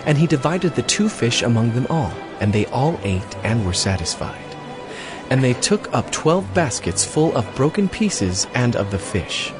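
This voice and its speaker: A man of medium pitch narrates a scripted scripture story. A clear music bed plays behind him. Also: sing-song, measured pace.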